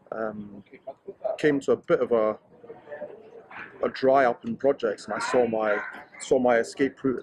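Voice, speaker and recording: A Scottish man in his thirties speaks in a middle-pitched, everyday voice, giving casual interview answers. He talks with enthusiasm and often restarts his sentences as he goes. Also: darkish tone, low-quality recording. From Scotland. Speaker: interviewee, ski racer.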